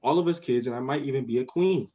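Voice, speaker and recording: A man's voice: mid-range voice, fast talker, mellow, close to an everyday voice. Radio play child: male actor as imaginative young girl, eager, impatient, bossy. Dull recording. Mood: earnest, excited. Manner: impatient, earnest. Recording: dull lo-fi audio.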